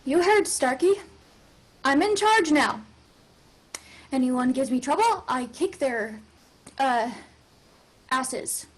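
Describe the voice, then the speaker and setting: A woman's voice: high voice, animated, takes it slowly, long pauses. A woman playing a young girl trying to take charge, earnest and hesitant, in a table read. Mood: bossy, uncertain. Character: young girl newly in charge, bossy yet pleading.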